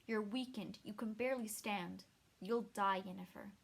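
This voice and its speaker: A woman voicing a grim, weary male monster hunter who speaks low and gravely. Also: moderate pace.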